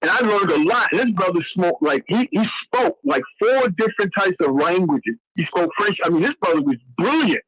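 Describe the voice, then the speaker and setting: A man's voice: sits high, animated, normal speed, hardly any pauses, fairly bright tone, husky, low-quality recording. Rambling, profane man in his sixties. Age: 60s. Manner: rambling, profane, stumbles over names. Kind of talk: casual chat.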